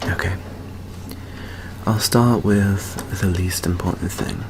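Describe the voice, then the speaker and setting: Man playing a low, gravelly, hesitant teenage vampire. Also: background noise. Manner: hesitant, halting, stammering. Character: nervous, earnest teenage boy vampire confessing to a friend. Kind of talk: intimate ASMR roleplay acting.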